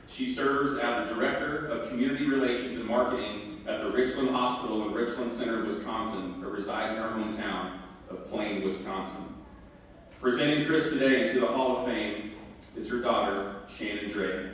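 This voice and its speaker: A man's voice: medium pitch, normal speed, fairly bright tone. Man announcing awards in a very echoey hall. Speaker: ceremony announcer. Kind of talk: scripted formal award presentation. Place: large echoing hall at a ceremony. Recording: echoey hall from far, faint noise.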